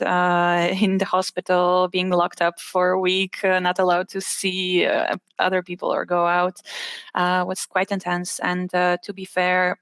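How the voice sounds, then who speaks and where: Low, flat-voiced woman with many pauses. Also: gravelly, smooth, no echo. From Bulgaria. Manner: hesitant, many fillers and restarts. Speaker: patient advocate guest. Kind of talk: online panel interview.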